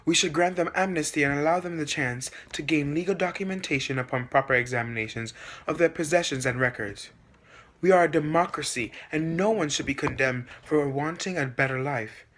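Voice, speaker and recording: A young man acts out a reasonable, earnest male presidential candidate in a student video. His voice sits slightly high. He delivers his positions formally and in a measured, prepared manner. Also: a bit bright, a little hiss or hum, lightly acted. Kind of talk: acted debate speech in a student video.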